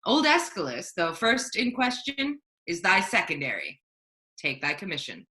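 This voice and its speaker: A woman playing a grave, courteous male ruler in a staged Shakespeare reading, formal and measured in phrasing. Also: on the low side, huge pitch swings, sharp tone, exaggerated voice. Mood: solemn, stately. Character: grave, courteous male ruler handing over his power.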